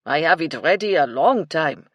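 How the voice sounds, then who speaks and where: A woman's voice: deep voice, animated, normal speed, no room sound, muffled recording. A woman voicing a grumpy French kitchen man with a thick French accent in a story. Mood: grumbling.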